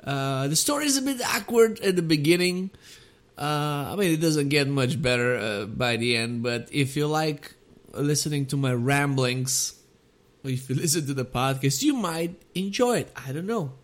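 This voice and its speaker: A man's voice: a little high, animated, moderate pace. A man, hosting his own podcast in a casual, rambling, self-deprecating monologue full of hesitant fillers. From Bulgaria. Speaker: podcast host and comedian.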